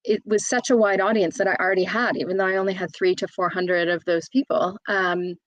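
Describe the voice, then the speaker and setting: A woman's voice: medium pitch, steady tone, fairly quick, no echo. A woman, an employment solicitor in her thirties, talking openly and chattily as an interview guest, with plenty of fillers and restarts. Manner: open, chatty, frequent fillers and self-restarts. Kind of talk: candid conversational interview.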